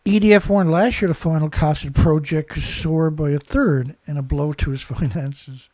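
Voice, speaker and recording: Guy with a stuffed-up nose ranting about the news, kinda high voice, dry close sound, lots of "right?" questions. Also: steady tone, moderate pace, a little mellow, no echo. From Canada. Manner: rambling, indignant, rhetorical questions. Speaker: host. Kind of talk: solo commentary on news.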